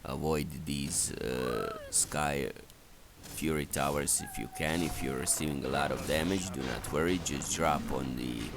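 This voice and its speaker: A man giving halting, matter-of-fact, instructional commentary on a video game strategy. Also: rumbling low.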